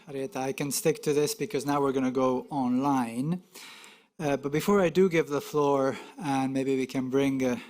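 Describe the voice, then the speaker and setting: A man's voice: medium pitch, darkish tone. A man moderating a panel in a chatty way, with friendly asides and light laughs.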